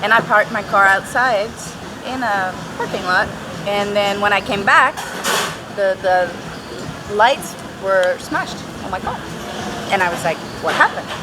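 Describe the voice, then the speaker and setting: A woman's voice: mid-range voice, measured pace. A woman in her thirties, a guest telling stories animatedly with frequent laughs on an outdoor patio. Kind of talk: casual storytelling. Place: outdoor restaurant patio under a thatched roof. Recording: outdoor patio with traffic noise. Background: street and traffic noise.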